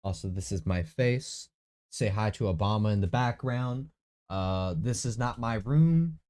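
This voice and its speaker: A man's voice: on the low side, a little slow, a little mellow, clear, resonant tone. Nasal young man rambling through game prep. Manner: rambling, self-correcting, talks to himself. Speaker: tabletop game master. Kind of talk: casual screen-recorded prep vlog. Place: his bedroom. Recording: faint echo in the room.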